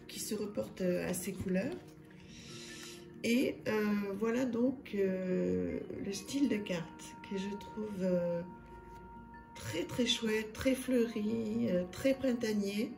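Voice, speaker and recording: A slightly hoarse woman hosting her own channel, reviewing card decks in a soft, hesitant way full of fillers. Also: medium pitch, roomy sound. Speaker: tarot and oracle channel host. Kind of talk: casual card deck review.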